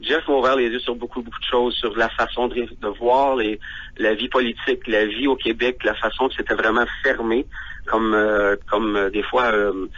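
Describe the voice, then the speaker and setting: Male caller, mid pitch, hesitant with fillers, dull lo-fi line. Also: little pitch movement, moderate pace, a bit bright. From Ontario. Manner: hesitant, frequent fillers and restarts. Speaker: guest on phone, teacher. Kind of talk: phone interview.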